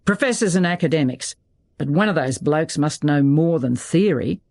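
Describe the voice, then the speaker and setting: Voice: medium pitch, lively intonation, moderate pace, darkish tone, close to an everyday voice. A narrator acts out a blustering male political operator from an audiobook thriller. He is sardonic and flippant, tossing out sarcasm as if nothing could rattle him.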